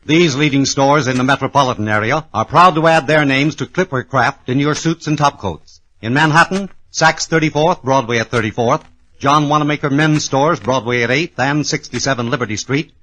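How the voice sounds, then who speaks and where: A man's voice: mid-range voice, moderate pace, a bit bright, poor audio. A man with an American accent reading scripted advertising copy as a 1940s radio announcer.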